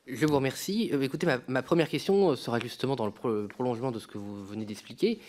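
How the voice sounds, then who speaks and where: A young man chairing a parliamentary hearing, asking a witness long questions into a desk microphone in a large committee room, pausing on many fillers. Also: mid-range voice, a little mellow. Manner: searching for words, many fillers. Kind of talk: formal questioning.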